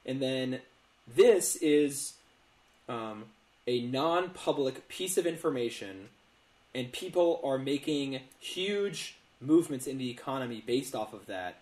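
A man's voice: mid-range voice, animated, slow pace, a little mellow. Man explaining in an echoey room. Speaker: podcast co-host. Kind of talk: casual explanatory podcast talk. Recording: roomy, echoey sound.

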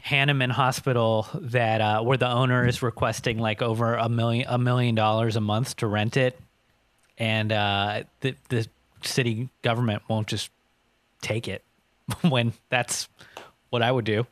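A man's voice: medium pitch, little pitch movement, a little slow, a bit bright, no echo. A man co-hosting a podcast, explaining things loosely in casual, colloquial speech. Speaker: podcast host.

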